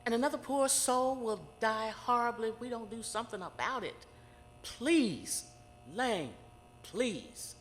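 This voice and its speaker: An actress playing a grave, self-assured psychic who works with murder investigators in an audio horror drama. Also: female voice, on the high side, animated, a little slow. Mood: urgent, pleading. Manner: grave, insistent, dry retorts. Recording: very reverberant, with noise behind.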